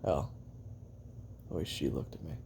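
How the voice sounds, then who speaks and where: A man playing a bitter, weary assassin in an audio roleplay, recounting his life in a brooding, profane monologue with heavy pauses. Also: warm tone, a little hiss or hum, lightly acted. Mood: brooding, reflective.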